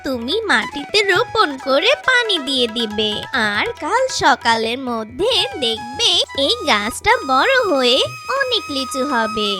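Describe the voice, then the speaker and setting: A woman voicing a kindly water fairy in a children's cartoon, speaking in a gentle, instructive way. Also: sits high, very animated, a little slow. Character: kindly female water fairy giving magical advice. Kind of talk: cartoon fairy-tale voice acting. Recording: children's background music. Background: children's jingly music.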